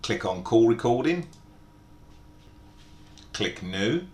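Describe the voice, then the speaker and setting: A man narrating an informal step-by-step software tutorial in a methodical, explanatory way. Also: big pitch swings, slow pace. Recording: echoey room, background hiss and rumble.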